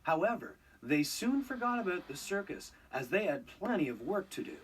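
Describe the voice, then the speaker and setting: A man narrating a children's read-along storybook in an expressive, scripted storytelling style. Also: sits high, normal speed, distant mic, a little hiss or hum, low-quality recording.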